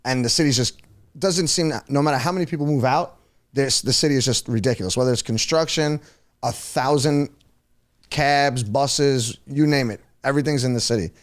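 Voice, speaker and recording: A man, a guest on a talk show, venting in an emphatic, ranting way. His voice sits at a middle pitch for a man. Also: normal speed. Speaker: podcast guest. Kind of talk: casual chat.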